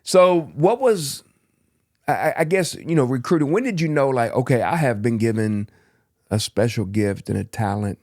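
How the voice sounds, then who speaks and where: A man hosting a faith and sports podcast, talking through long, loose questions in an easy conversational way. His voice sits at an average pitch. The sound is close and dry, with no room around it. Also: moderate pace, darkish tone, dry recording. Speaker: podcast host. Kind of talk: faith-focused sports interview.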